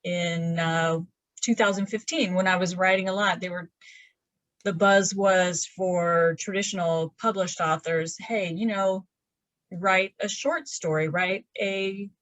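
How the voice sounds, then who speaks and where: A woman's voice: on the low side, fairly even intonation, takes it slowly, fairly bright tone, dry recording. A woman in her fifties, a romance author, chatting casually in a video-call interview from her laundry room, rambling with many fillers and restarts. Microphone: webcam on a video call.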